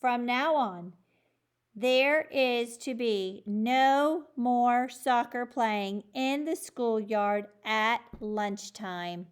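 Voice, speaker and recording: A calm male schoolteacher from a children's storybook, as read by a woman. He addresses his class reasonably and patiently, explaining each point in a plain, everyday voice. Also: a little high, drawn-out words, clear, resonant tone, pitched above the performer's own voice. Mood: announcing. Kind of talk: children's storybook character reading.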